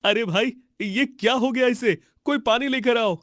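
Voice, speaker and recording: A man voicing a helpful, frank market bystander in a cartoon story, concerned and gently admonishing. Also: extremely high pitch, normal speed, dry recording, clean studio sound. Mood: alarmed. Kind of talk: Hindi cartoon story voice acting.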